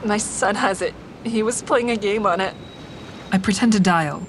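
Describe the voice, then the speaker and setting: An actress narrating in first person as a grieving, quietly deceptive woman in an audio drama, measured and introspective, with a steady vehicle rumble behind. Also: close to an everyday voice. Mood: guarded.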